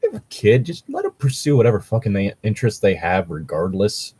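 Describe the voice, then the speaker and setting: Husky, animated man, sweary and sarcastic. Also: lowish voice, a little fast, dry recording. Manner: profane, sarcastic ranting. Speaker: co-host reading and mocking fan fiction. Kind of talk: casual joking chat.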